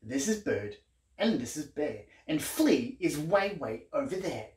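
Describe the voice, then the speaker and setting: A man's voice: on the high side, sing-song, a little slow, a little mellow. Aussie guy reading a kids picture book, super swoopy playful voice, takes his time, bit echoey room. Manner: playful, exaggerated storyteller delivery with questions to the listener. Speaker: children's entertainer reading aloud. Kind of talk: animated picture-book read-aloud for young children. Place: small living room, indoors.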